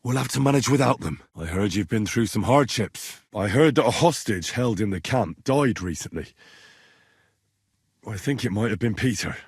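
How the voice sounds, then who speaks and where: A man's voice: lowish voice, animated, normal speed, slightly rough, dry recording, studio quality. An actor playing an earnest, courteous young medieval messenger and man-at-arms, speaking plainly and politely in video game dialogue. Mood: grave, matter-of-fact. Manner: polite, plain-spoken, deferential to betters.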